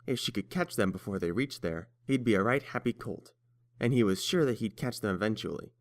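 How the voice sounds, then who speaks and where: Low-pitched male story narrator. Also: expressive, brisk.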